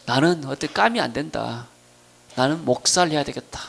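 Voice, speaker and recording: Gravelly Korean preacher man with Jeolla accent. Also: on the high side, expressive, moderate pace, fairly bright tone. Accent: Jeolla (Gwangju). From Gwangju region, South Korea. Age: middle-aged. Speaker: pastor preaching. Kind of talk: sermon with personal testimony.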